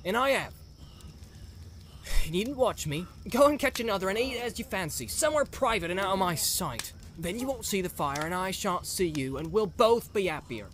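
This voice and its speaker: A man's voice: high voice, lively intonation, normal speed, a bit bright, voice pushed up. A man voicing a plain-spoken, stubborn young hobbit servant in an audiobook. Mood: firm, scolding. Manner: folksy, scolding, practical. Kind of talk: dramatised audiobook character voice. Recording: clear outdoor ambience noise behind.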